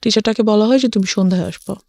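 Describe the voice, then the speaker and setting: A woman's voice: mid-range voice, racing, creaky, darkish tone, no room sound, studio quality. A woman with a Bangladeshi accent telling her own life story as an interview guest, slipping English phrases in. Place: radio studio.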